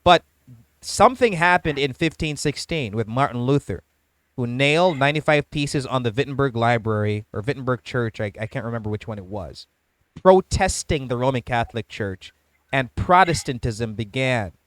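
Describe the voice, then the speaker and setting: Measured man explaining, dry close sound. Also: medium pitch, animated, no room sound. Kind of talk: casual debate.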